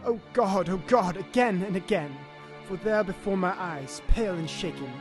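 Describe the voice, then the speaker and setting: A grave Victorian gentleman doctor voiced by a male actor tells of horror in an audio drama. His voice sits a little high, formal and dramatic, shaken by what he recounts. Soft music plays behind him. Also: lightly acted. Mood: horrified. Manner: formal, dramatic, measured storytelling.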